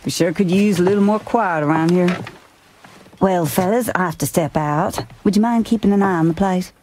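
An actress playing a friendly, concerned saloon barmaid in a Wild West video game. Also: female voice, fairly low pitch, normal speed, dark tone, a little hiss or hum, natural voice. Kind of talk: video game cutscene acting.

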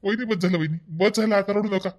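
A man's voice: sits high, fast talker, lightly acted. A man voicing a caring, easily hurt middle-aged uncle in a cartoon, firm and repetitive, with a Varhadi Marathi accent. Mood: firm consoling. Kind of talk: amateur animated family comedy acting.

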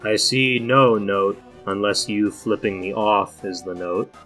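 A man voicing a laid-back teenage boy in a cartoon comic dub, deadpan and dryly sarcastic. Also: lowish voice, unhurried, soft background music. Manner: deadpan, dry, rambling sarcasm.

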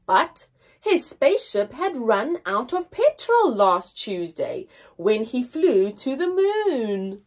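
Slow, expressive young woman reading to children. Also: a little high. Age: young adult. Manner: expressive, with deliberate dramatic pauses and emphasis. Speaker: children's story reader. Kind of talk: read-aloud storytelling for young children. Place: indoors, small room with painted backdrop.

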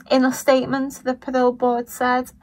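A woman with a Liverpool accent and a croaky voice, giving blunt, indignant commentary on a news story as a vlogger. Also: high voice, dry recording. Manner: blunt and indignant, swears when angry. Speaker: true-crime commentary vlogger. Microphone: voice-over over still images.

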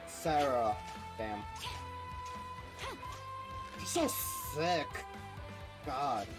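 A man's voice: medium pitch, animated, extremely slow, over loud music. A young man hosting a gaming reaction video, talking casually in an informal, slangy, enthusiastic way.

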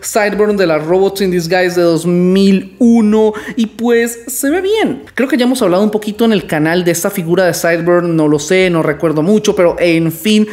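A man in his twenties with a high voice, talking in a casual, rambling and playfully boastful way in a toy review vlog, recorded at home on a studio mic. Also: moderate pace, few pauses, a little mellow. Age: 20s. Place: small room at home. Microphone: studio mic on stand in front.

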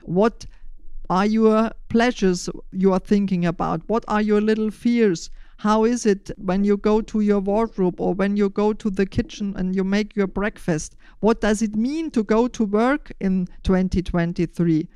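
An older woman explaining a podcast in measured, deliberate, prepared phrases. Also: medium pitch, fairly even intonation, mellow, smooth, dry recording. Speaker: podcast host.

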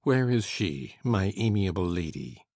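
A man voicing a surly, savage middle-aged landlord with a gruff, sneering delivery. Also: low-pitched, moderate pace, a little mellow, dry recording, studio quality, voice pushed down.

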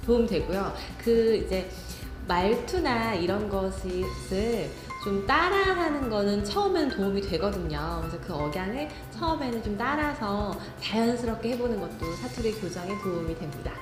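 A woman speech instructor giving calm, encouraging interview answers. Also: mid-range voice, normal speed, hardly any pauses, roomy sound, music in the background.

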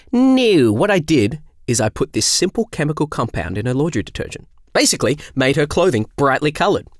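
A man performs a mischievous young male angel for an audio drama. His pitch leaps wildly as he chatters with theatrical, flippant cheer. The recording is dry and close. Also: creaky, no echo. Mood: chatty, breezy. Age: young adult.

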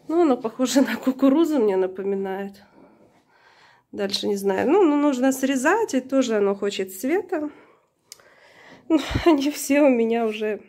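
Higher-voiced woman vlogger, soft mellow tone. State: slightly hoarse from being unwell. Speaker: plant vlogger. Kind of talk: casual unscripted narration of own video. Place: indoors, room in a flat. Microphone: handheld camera or phone, behind the camera.

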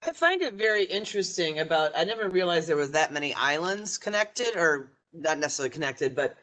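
High, lively male voice asking questions. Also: a little fast. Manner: hesitant, searching for words. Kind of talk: casual classroom questions.

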